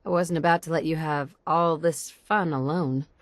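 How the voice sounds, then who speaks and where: A woman playing a tough, wry wasteland survivor in a video game, speaking in a measured, dry-witted way. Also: sits low, steady tone, no room sound, lightly acted. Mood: wry, questioning. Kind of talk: video game character dialogue.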